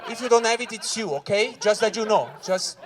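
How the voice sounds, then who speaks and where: A Swiss man from Ticino, an English speaker, doing a loud, animated stand-up set at a corporate event and talking straight to the crowd. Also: high-pitched, fairly quick. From Switzerland (Ticino). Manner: loud, animated crowd work, keeps saying "guys". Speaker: stand-up comedian. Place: corporate event hall with live audience.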